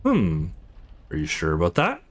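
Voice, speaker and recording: A man voicing a cold, condescending wealthy businessman in a visual novel, measured and mocking. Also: deep voice, huge pitch swings, voice pushed down, full character voice. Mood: smug, mocking.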